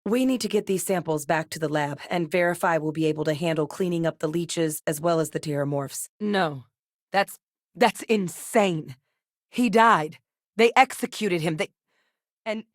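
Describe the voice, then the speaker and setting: An actress playing a composed, earnest, duty-minded woman scientist in a space video game, speaking in a measured, articulate way. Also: low voice, a little mellow, no echo, studio quality, lightly acted. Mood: distressed. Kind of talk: scripted game character dialogue.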